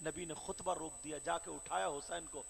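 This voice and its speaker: A man preaching a devotional sermon, telling the story with impassioned shouting and chanted, sing-song phrases. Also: fairly high pitch, steady tone, creaky, a little hiss or hum. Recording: reverberant hall with faint crowd.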